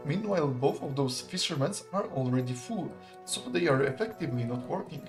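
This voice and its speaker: A young man, commentating a live strategy game in a relaxed, chatty, analytical way and laughing often. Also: a little high, brisk, music in the background. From Poland. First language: not English. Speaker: esports caster.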